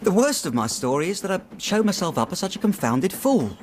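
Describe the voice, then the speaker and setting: Animated young clerk. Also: male voice, high voice, brisk, background noise. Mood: rueful. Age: young adult. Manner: chatty, slangy, deferential. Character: earnest, worried young male London city clerk. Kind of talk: radio drama acting.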